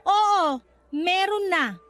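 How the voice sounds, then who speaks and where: Super high voiced tired young mom, blunt n guarded, radio drama, soft music. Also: female voice, animated, very slow, exaggerated voice. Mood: firm, exasperated. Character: weary, guarded young mother rejecting her ex. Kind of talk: Tagalog radio drama acting.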